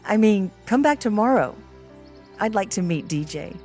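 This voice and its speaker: A woman playing a gentle, sincere young woman grieving a lost love, speaking tender, earnest dialogue in an audiobook. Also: medium pitch, animated, normal speed, close to an everyday voice. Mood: eager. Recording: music under the voice. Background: music.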